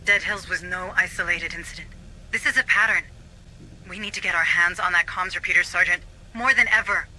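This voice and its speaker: A man's voice: sits high, fairly even intonation, brisk, sharp tone, slight background noise, rough recording. A man voicing a keen, businesslike mission adviser in a video game, briefing marines in an urgent, explanatory way. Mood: urgent, earnest.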